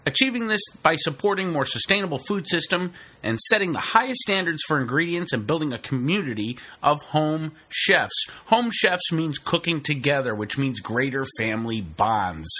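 A man's voice: on the high side, lively intonation, bright, forceful tone. A man reading an upbeat sponsor ad, rattling off lists of items. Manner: upbeat, listing items quickly. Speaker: ad reader. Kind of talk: sponsor read.

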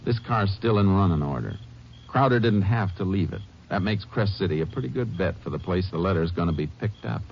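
An actor playing a calm, shrewd Texas Ranger in a measured, assured, plain-spoken way, in 1950s radio western style. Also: male voice, sits low, a little hiss or hum. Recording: muffled old radio recording with music.

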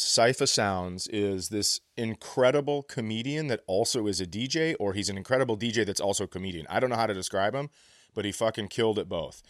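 A man telling casual, animated stories as a comedian on a podcast, often profane and easily amused. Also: lowish voice, dry recording, clean studio sound. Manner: acts out other people's quotes.

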